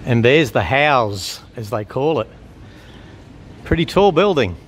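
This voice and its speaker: A man giving casual, unhurried walk-around commentary as a travel vlogger, chatting about what he observes. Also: medium pitch, expressive. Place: walking around an open-air shopping mall. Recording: outdoor urban and traffic noise.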